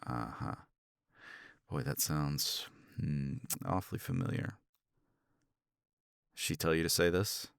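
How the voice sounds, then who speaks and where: Man acting a gravelly, low-voiced sardonic professor. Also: no echo, clean studio sound, full character voice. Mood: probing, grudging praise. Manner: sardonic, halting, articulate. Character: blunt, proud male college professor, awkwardly apologetic. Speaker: voice actor in audio roleplay. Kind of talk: romance ASMR roleplay drama.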